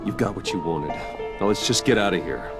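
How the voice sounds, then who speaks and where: An actor with an American accent playing a curt, brooding, wealthy vigilante in a video game. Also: male voice, low voice, fairly quick, loud music behind the voice, close to an everyday voice. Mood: curt, guarded.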